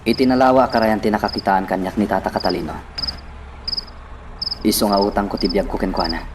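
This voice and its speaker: A man's voice: fairly low pitch, steady tone, brisk, loud music behind the voice, natural voice. A man with an Ilocano accent playing a devoted but vengeful young son in a radio drama, earnest and dramatic. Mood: concerned. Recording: loud insect and clicking noise.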